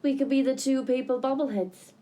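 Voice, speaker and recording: Woman acting mellow, pensive Irishwoman. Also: sits high, steady tone, fairly quick, close to an everyday voice. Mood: pensive, wry. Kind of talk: naturalistic radio drama dialogue.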